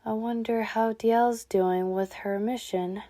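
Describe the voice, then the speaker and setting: A woman's voice: on the high side, fairly even intonation, takes it slowly, mellow. A woman playing a young fox Pokemon in a comic dub, a sceptical, easily flustered trainee explorer with a dry, questioning manner. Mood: sceptical, puzzled. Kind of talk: animated comic dub acting.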